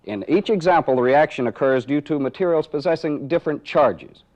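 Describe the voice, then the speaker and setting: A man's voice: on the high side, expressive, a little fast, darkish tone. A man giving methodical instructional narration as a training film instructor, explaining step by step and posing rhetorical questions. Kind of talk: instructional narration with live demonstration. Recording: dull, band-limited 1980s training film audio.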